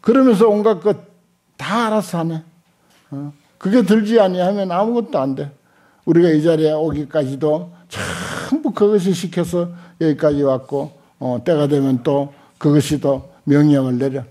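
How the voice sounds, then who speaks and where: Mellow elderly monk lecturing. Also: male voice, fairly high pitch. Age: older, 70s or above. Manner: unhurried, halting with frequent interjections, emphatic repetition. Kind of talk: Buddhist scripture lecture. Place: indoor lecture hall with seated audience. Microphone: desk stand microphones.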